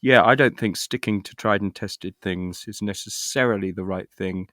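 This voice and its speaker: A man's voice: lowish voice, normal speed, a bit bright, no room sound, clean studio sound. A middle-aged man with a British accent talking reflectively in an interview, thoughtful and hesitant, often restarting his sentences. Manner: hesitant, thoughtful, with repeated false starts. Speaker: interview guest, actor and writer.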